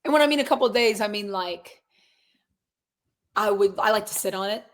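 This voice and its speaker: A woman in her twenties with a raspy, hoarse voice, chatting casually as a guest musician from a closet at her grandparents' house. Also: medium pitch, a little fast. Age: 20s. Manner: upbeat, laughs often, many fillers. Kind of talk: casual interview chat.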